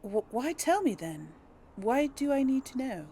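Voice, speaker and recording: A woman's voice: high voice, sing-song, a little slow, mellow, slight background noise. A woman giving casual let's play commentary and role-play, musing and thinking aloud as she goes. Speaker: gamer, let's play host.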